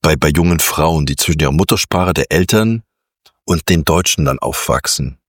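Calm, reflective male radio interviewer with a very deep, husky voice. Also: normal speed, no echo, clean studio sound. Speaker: radio host.

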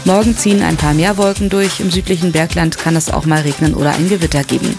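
A woman reading radio news, sport and weather in a crisp, formal way. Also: low voice, steady tone, quick pace, music in the background. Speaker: newsreader.

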